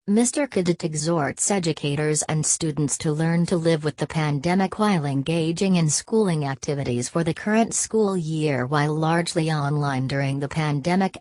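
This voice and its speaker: Low-voiced woman reading the news. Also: a little mellow, dry recording. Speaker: newsreader.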